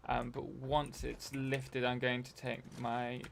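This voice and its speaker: A man's voice: medium pitch, monotone, slow, gravelly, a little hiss or hum. A young man hosting a casual live stream while playing a game, rambling and answering chat messages.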